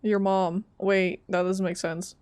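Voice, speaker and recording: A woman's voice: mid-range voice, little pitch movement, slow pace, a little mellow, smooth. A young woman streaming a game, giving informal, chatty reaction commentary. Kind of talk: casual reaction commentary over gameplay. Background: game music.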